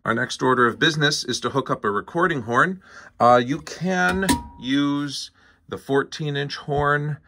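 A man with a bright, forward voice talks through a practical tutorial. His delivery is casual and methodical, going one step at a time, recorded indoors at a workbench. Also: medium pitch. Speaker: presenter of a hands-on tutorial. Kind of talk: casual instructional demonstration. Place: indoor workshop bench.

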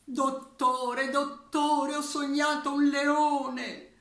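A woman voicing an anxious patient who keeps pleading with a doctor in a high, insistent voice. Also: flat delivery, very slow, a bit bright, heavily acted. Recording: echoey room.

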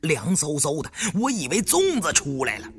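A man as a rattled, breathy, timid tomb raider. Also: on the high side, wildly swooping pitch, moderate pace, full character voice. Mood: frightened, pleading. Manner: pleading, sighing, colloquial cursing.

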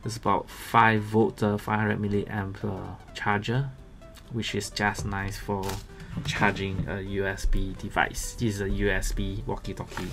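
A man gives casual, halting unboxing commentary as a gadget reviewer. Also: lowish voice, flat delivery, creaky. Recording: music playing behind the voice.

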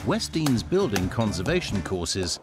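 A man reading scripted documentary narration in a measured, storytelling manner. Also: on the low side, very animated. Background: music.

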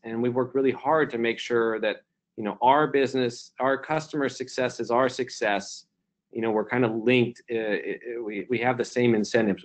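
A man of ordinary pitch talks through his answers on a conference call. He is reflective and unhurried, and he repeats his points with plenty of ums and false starts. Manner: reflective, repeats himself with fillers and restarts. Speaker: company CEO, keynote guest.

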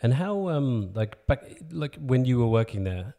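A man's voice: medium pitch, sing-song, unhurried, warm tone. A man hosting a podcast, asking casual interview questions.

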